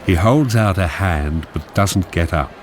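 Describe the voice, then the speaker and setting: An actor as a measured, deliberate narrator reading screen directions in a radio drama. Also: male voice, low voice, crowd noise, noisy background. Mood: measured, suspenseful. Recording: music behind the narration.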